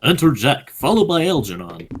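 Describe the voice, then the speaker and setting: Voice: medium pitch, big pitch swings, normal speed, husky. A narrator reading scripted stage directions for a radio play.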